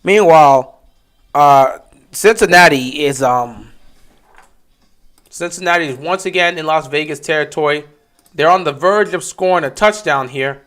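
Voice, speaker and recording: A man giving casual live play-by-play commentary on a stream, getting excited and loud on big plays. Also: fairly high pitch, a little slow, pauses often. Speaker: livestream game commentator.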